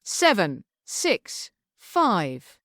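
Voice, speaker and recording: A woman's voice: mid-range voice, huge pitch swings, drawn-out words, fairly bright tone, dry recording, clean studio sound, heavily acted. A woman playing a dramatic countdown announcer in a script table read. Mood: tense, counting.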